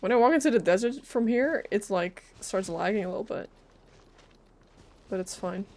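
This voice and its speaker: A woman's voice: mid-range voice, lively intonation, normal speed, a little mellow. Irreverent woman streaming a game. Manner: sarcastic, irreverent quips. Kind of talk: casual playthrough commentary, reading and reacting. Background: game music.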